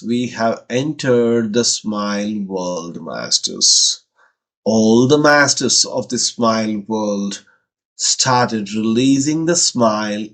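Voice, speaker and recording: A man's voice: lowish voice, fairly bright tone. Indian man leading guided meditation. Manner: measured short phrases, repetitive, incantatory.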